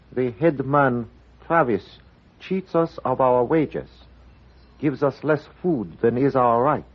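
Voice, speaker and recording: An actor playing a grave, dignified older Chinese uncle in a 1950s radio drama, speaking formally, carefully and deliberately. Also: male voice, mid-range voice, husky. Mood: solemn. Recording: muffled vintage radio recording.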